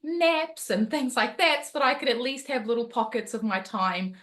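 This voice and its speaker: Bright, lively New Zealand woman. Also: a little high, normal speed. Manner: lively storytelling, laughs often. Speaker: motivational coach. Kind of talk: webinar talk on a video call. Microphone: video call.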